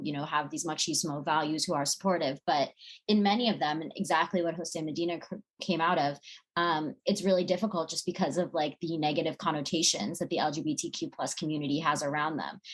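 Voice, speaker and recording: A woman co-hosting a podcast in casual chat, speaking earnestly and reflectively with frequent fillers. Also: sits low. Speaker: podcast co-host.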